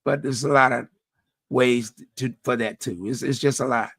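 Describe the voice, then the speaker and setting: A middle-aged man with a deep voice, hosting a community radio talk show and speaking earnestly about faith and helping others, often restarting phrases. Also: fairly even intonation, normal speed, gravelly, fairly bright tone, slightly rough, no room sound, studio quality. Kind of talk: reflective personal talk.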